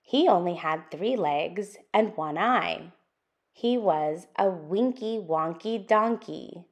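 Expressive woman reading to children, swooping intonation. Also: lowish voice. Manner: expressive, sing-song, deliberate phrasing for young listeners. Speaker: storytime host reading a picture book aloud. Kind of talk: interactive children's read-aloud narration.

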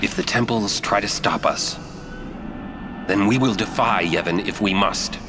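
An actor playing a stern, gruff middle-aged warrior guardian in a video game, curt, blunt and commanding. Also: male voice, lowish voice, a little slow, noisy background, natural voice. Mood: grave, resolute.

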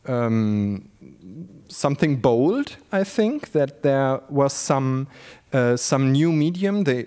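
A man's voice: mid-range voice, a little mellow. A middle-aged man speaking on a panel discussion, into a handheld mic on a stage, hesitant and full of fillers and restarts. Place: large stage in a hall.

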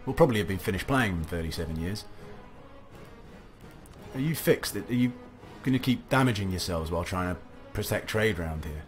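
A young man with a low, slightly gravelly voice talks through a strategy game, musing aloud to himself in a loose, chatty way. Soft game music plays faintly under his desk-mic commentary. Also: expressive, normal speed. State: recovering from a cough, slight gravel. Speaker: let's play commentator. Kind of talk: casual gameplay commentary.

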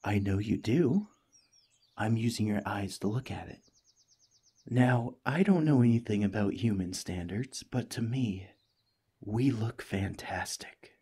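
A man voicing a cheerful, friendly alien parasite in a gentle, chatty ASMR roleplay, eager and reassuring. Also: fairly low pitch, expressive, unhurried, breathy edge, heavily acted. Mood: cheerful, affectionate. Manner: gentle, chatty, playfully earnest.

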